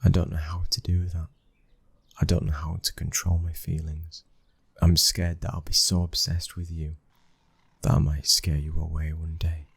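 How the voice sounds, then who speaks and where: A man with a British accent acting as a brooding, protective young mafia boss's son in an intimate ASMR boyfriend roleplay, speaking in a husky, hushed, intense way that often nears a whisper. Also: low voice, no room sound, exaggerated voice. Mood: anxious, confessional.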